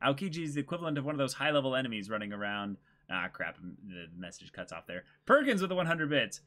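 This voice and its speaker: A man's voice: mid-range voice, very animated, fast, bright, forceful tone. A man in his twenties co-hosting a casual livestream, chatting and reading aloud. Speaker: co-host. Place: small indoor room.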